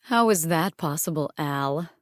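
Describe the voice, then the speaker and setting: An actress performs a sultry, haughty vampire TV hostess for an audiobook. Her voice is low and silky, drawn out very slowly and theatrically, and recorded close and dry. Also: animated, dry recording. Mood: dry, sardonic. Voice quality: deep, silky. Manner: theatrical, commanding. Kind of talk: dramatic audiobook reading.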